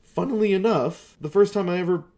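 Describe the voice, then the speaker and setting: A man's voice: high-pitched, a little fast, mellow. A young man chatting casually as a video creator, rambling, self-deprecating and swearing freely. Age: young adult. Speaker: amateur voice actor and video creator. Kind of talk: casual rambling retrospective chat.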